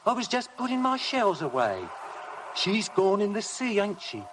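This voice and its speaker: A man's voice: high-pitched, very animated, normal speed, a bit bright. An actor playing a furtive, simple-minded rustic man with childlike phrasing in a 1950s radio drama. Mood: pleading. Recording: noisy vintage radio broadcast.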